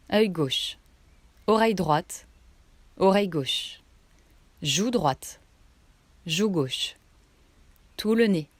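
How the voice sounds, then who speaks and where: Slow-paced woman guiding meditation. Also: fairly low pitch, no room sound. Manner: instructional, short phrases with pauses. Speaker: yoga nidra meditation guide. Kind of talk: guided relaxation meditation.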